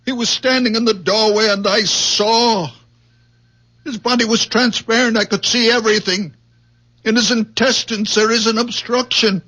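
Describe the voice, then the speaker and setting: Earnest, high-voiced gentle farmer. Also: male voice, fairly even intonation, normal speed, low-quality recording. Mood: intense, insistent. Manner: earnest, hesitant, intense. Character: earnest, gentle farmer with healing visions, a father. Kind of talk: old-time radio drama acting.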